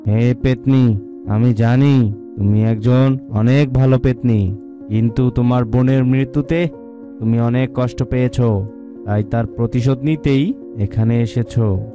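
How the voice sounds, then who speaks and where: A man playing a solemn, conciliatory village tantric in a cartoon, speaking in a measured, admonishing way. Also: mid-range voice, steady tone, music in the background, lightly acted. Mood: solemn pleading. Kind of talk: cartoon dialogue.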